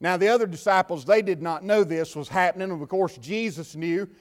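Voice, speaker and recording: A man with a Southern US accent preaching a sermon from the pulpit, building to fervent shouts and dropping to quiet talk. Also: high-pitched, a little fast. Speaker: pastor. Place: church.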